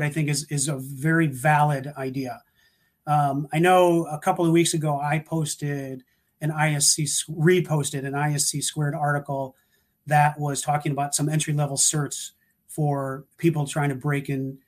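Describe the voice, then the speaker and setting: A man talking through career advice in a relaxed, thoughtful way, with frequent restarts and fillers. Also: fairly high pitch. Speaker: guest, security practitioner. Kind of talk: casual panel talk.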